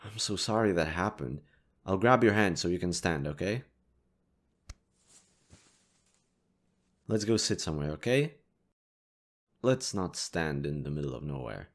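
A man playing a kind, reassuring young stranger in an intimate audio roleplay, speaking gently, considerately and a little hesitantly. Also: sits low, lively intonation, normal speed. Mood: gentle, reassuring. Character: kind, reassuring young male stranger helping a distressed girl.

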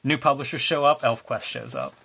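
A man in a casual show-and-tell chat about old comics, relaxed and musing, trailing off with fillers. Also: mid-range voice, a little fast, slight background noise. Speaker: co-host showing comics.

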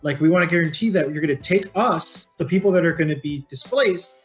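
A man's voice: a little high, a little fast. Casual, free-swearing man over guitar music. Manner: informal, swears freely. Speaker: co-host of a read-along book discussion show. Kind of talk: casual discussion with informal explaining. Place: indoor room, remote video call.

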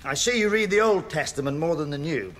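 A fire-and-brimstone prison chaplain in a radio play. His voice is high-pitched for a man, and he speaks in a pompous, declamatory way, full of pious zeal. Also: lively intonation, fairly quick, a bit bright. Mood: pious, kindly. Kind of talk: radio drama acting, preaching.

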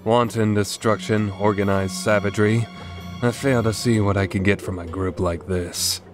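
A man's voice: lowish voice, steady tone, normal speed, music in the background, close to an everyday voice. A man voicing a stoic, battle-hungry young swordsman in a game fan dub, terse and formal. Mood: aloof, disdainful.

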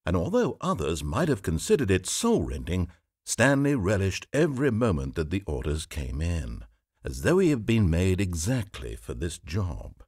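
An actor voicing a game's male narrator, speaking in a measured, wry and theatrical way. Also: low voice, huge pitch swings, darkish tone, slightly rough, no echo, heavily acted. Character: dry, wry male storybook narrator of a game. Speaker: game narrator. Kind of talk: scripted narration. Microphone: studio microphone. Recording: dry close studio recording.

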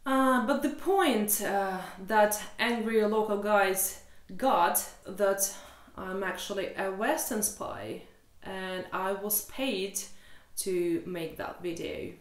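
A woman's voice: mid-range voice, slow. Young Russian woman, frank vlog to camera, sarcastic edge, some echo from the room. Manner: exasperated, candid, sarcastic, swears freely. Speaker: vlogger. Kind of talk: casual chat to camera. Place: small room indoors.

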